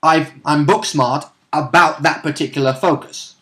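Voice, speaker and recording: Male gamer, slightly high voice, conversational, explanatory and quick to correct. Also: steady tone, a bit bright. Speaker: tabletop role-playing player. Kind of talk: casual chat.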